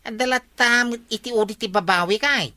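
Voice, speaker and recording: A high, teasing village woman. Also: brisk, pushed and bright, no echo. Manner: teasing, laughing. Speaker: radio drama actor. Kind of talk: radio comedy drama acting.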